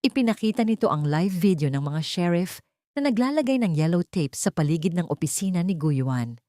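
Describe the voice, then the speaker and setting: Female storyteller for melodramatic fiction, slightly low voice, dry close recording. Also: sing-song, fairly quick, dark tone, no room sound. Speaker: story narrator. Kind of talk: dramatic scripted audio story narration.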